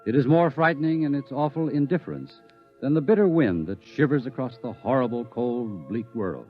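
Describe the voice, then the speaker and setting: A man's voice: medium pitch, animated, normal speed. A man narrates with grave theatrical weight and a voice that rises and falls widely. The vintage broadcast is dull, with music swelling underneath. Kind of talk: dramatic radio narration.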